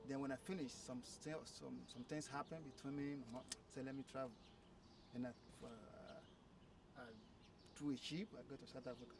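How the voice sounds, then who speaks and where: Halting man in his thirties. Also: mid-range voice, steady tone. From Nigeria. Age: 30s. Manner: halting, repeats words while telling his story. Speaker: interview guest. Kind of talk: casual conversation. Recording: distant mic with clear background noise.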